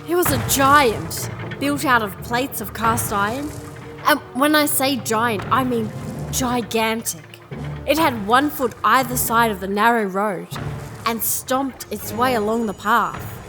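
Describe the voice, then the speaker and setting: A woman's voice: on the high side, measured pace, lightly acted. A woman playing an earnest, idealistic and determined young princess in a fantasy audio drama, with an impatient edge. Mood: dramatic narrating. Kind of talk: full-cast audio drama. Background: music.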